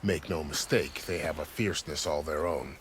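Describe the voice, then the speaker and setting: Deep-voiced, grave soldier. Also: male voice, moderate pace, slight background noise. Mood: measured, informative. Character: grave, courteous male gate commander and soldier. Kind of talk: game cutscene dialogue.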